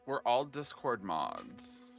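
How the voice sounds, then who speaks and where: A man's voice: medium pitch, wildly swooping pitch, takes it slowly, gravelly, fairly bright tone, soft background music. A man bantering casually with fellow players on a game stream. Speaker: co-streamer and Discord mod.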